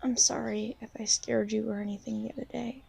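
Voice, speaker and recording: Shy, insecure young female vampire, played by a woman, for ASMR roleplay; mellow, creaky voice, halting and apologetic. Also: fairly high pitch, steady tone, exaggerated voice. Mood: reassuring, apologetic. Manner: hesitant, halting, soft-spoken, apologetic. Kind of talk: ASMR roleplay, intimate one-sided conversation.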